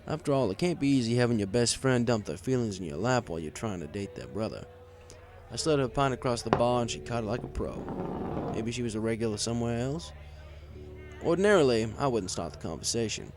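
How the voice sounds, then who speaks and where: A man's voice: mid-range voice, animated, fairly quick, a little mellow, faint music behind. A man reading a first-person story aloud in a wry, conversational way. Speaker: story reader. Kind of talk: first-person scripted fan fiction narration.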